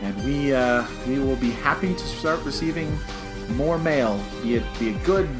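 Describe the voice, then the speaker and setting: Man, American accent, podcast co-host, casual review chat, animated delivery, background music. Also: mid-range voice, moderate pace. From United States.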